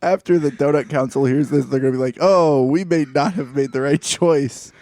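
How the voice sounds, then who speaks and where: A man's voice: fairly high pitch, moderate pace, dark tone, a little hiss or hum. A man co-hosting a casual chat, joking and teasing as he talks. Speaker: podcast co-host.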